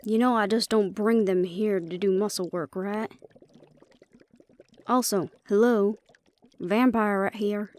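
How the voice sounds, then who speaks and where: A woman's voice: medium pitch, steady tone, slow, long pauses, a little mellow, natural voice. A woman playing a sardonic, impatient and proud female vampire in a fantasy audio roleplay, speaking with sarcasm and disbelief. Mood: sardonic, miffed. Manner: sarcastic, incredulous.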